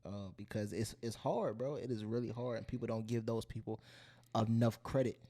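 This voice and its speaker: A man hosts a podcast and keeps affirming his guest with short, supportive replies. His voice is low and mellow, and he talks in a relaxed, casual way. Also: normal speed. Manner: affirming, chimes in with "absolutely" and "I feel that". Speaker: podcast host. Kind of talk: casual interview chat.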